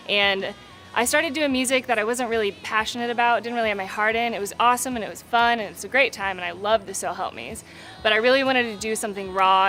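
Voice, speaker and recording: A woman's voice: medium pitch, sharp tone. A young adult woman, a singer-songwriter, talking casually and candidly in an interview, peppering her speech with "like" and "you know".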